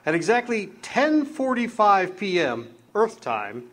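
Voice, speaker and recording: An actor playing a kindly, authoritative chief of angels who briefs his helper calmly and fatherly. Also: sits high, a little slow, exaggerated voice. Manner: calm, fatherly, instructive. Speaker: radio theatre actor. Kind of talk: live amateur radio drama acting.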